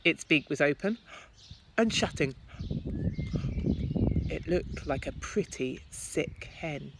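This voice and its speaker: Female primary teacher, storyteller: slow, very animated delivery, dramatic emphasis, some background noise. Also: low-pitched, vocal fry. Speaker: primary school teacher reading aloud. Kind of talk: expressive children's story narration.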